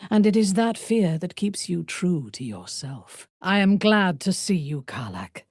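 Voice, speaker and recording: A woman's voice: lowish voice, sing-song, darkish tone, no echo, studio quality, full character voice. An actress playing a proud, ruthless exiled warrior woman in a video game, speaking formally with sardonic, imperious deliberation. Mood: grave warmth. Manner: haughty, formal, deliberate phrasing. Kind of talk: video game character voice lines.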